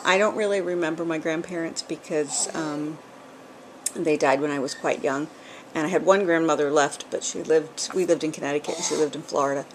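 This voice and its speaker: A middle-aged woman with a New England accent touched by Southern words chats into a home camcorder, her voice sitting a little low. She rambles easily, filling pauses with ums. A faint hiss runs under the recording. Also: low-quality recording. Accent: New England, with some Southern. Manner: chatty, rambling, with frequent ums and small laughs. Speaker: vlogger. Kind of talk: casual chat. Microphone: camcorder built-in mic.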